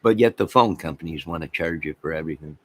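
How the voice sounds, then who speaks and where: A man's voice: extremely low pitch, fast talker. An American man giving a rambling, off-the-cuff rant as an online channel host, speaking in an exasperated, repetitive and emphatic manner.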